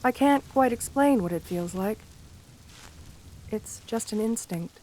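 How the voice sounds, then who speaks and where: A woman voicing a shy, lonely, romantic gargoyle girl in a gentle ASMR roleplay, speaking tenderly with formal, bookish wording. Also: a little high, expressive, a little slow, a little hiss or hum, rough recording. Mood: shy, earnest. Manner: tender, soft-spoken, formal old-fashioned wording.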